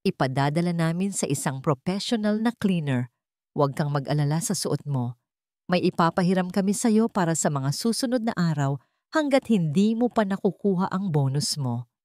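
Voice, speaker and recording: A woman with a Filipino accent voicing a kind, dignified male company chairman in a dramatic story, earnest and measured. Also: on the low side, animated, darkish tone, no echo, studio quality, lightly acted. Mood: reassuring.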